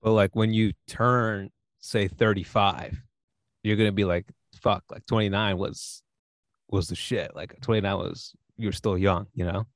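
Man in his late twenties, fairly low voice, dry recording, joking casual podcast chat. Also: fairly even intonation, measured pace, dry recording. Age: 20s. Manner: easygoing, joking, laughs often. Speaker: podcast co-host.

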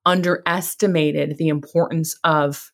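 Encouraging woman host, lowish voice. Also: fairly even intonation, a little slow, gravelly. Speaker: podcast host. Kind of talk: conversational interview.

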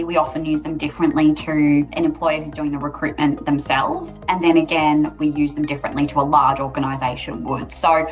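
A woman working as a recruiter, giving conversational advice on a podcast. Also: deep voice.

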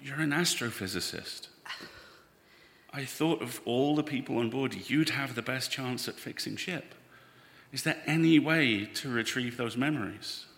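A man playing an anxious, earnest spaceman in a live audio drama, halting and pleading as he speaks. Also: medium pitch, fairly bright tone. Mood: desperate. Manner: halting, repeats words, pleading. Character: anxious, earnest spaceman devoted to his ship's AI. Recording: echoey hall, live stage recording.

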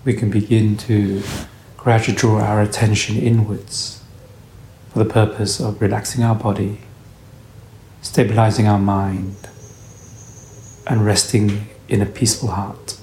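Slow, low-voiced man guiding meditation. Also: little pitch movement. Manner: soothing, gentle instruction in short phrases. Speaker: meditation guide. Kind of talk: guided meditation narration. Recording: roomy echo, faint background noise.

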